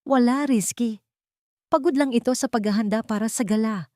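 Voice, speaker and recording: A woman's voice: on the high side, moderate pace, mellow, dry recording, studio quality, voice pushed up, close to an everyday voice. Woman voicing anxious, scheming wealthy matriarch. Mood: evasive, brisk. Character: Doña Isabel, anxious scheming wealthy older mother.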